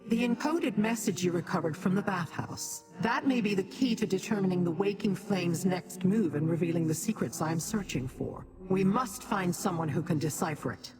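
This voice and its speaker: An imperious otherworldly female immortal voiced by an actress for a fantasy game. She speaks in formal, commanding phrases with animated, swooping intonation and open contempt for mortals. Long hall reverb surrounds the voice, and music plays clearly behind it. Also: on the low side, moderate pace, hardly any pauses, natural voice. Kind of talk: video game character dialogue.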